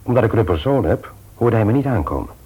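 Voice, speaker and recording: A man's voice: fairly low pitch, sing-song, fast, dark tone, husky. A male radio play actor playing a conscientious family man, speaking naturally, hesitantly and earnestly. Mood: casual, confiding. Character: conscientious, troubled family man and office worker, jovial host. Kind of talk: naturalistic Dutch radio drama acting.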